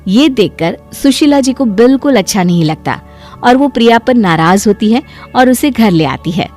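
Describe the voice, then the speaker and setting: High-voiced woman story narrator. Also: lively intonation, moderate pace, darkish tone, soft background music.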